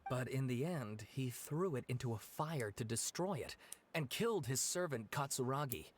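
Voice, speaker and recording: A man's voice: mid-range voice, expressive, normal speed, a little hiss or hum, close to an everyday voice. An actor playing an amiable, slightly awkward young male researcher in a video game. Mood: explaining. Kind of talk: video game dialogue acting.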